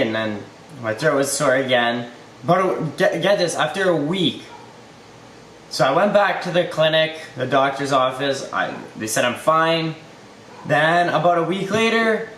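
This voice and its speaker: A man in his twenties telling a rambling story-time vlog, his voice slightly hoarse. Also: on the high side, fairly bright tone, roomy sound, a little hiss or hum, poor audio. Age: 20s. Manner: rambling and loose, lots of "like" and "you know". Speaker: YouTuber.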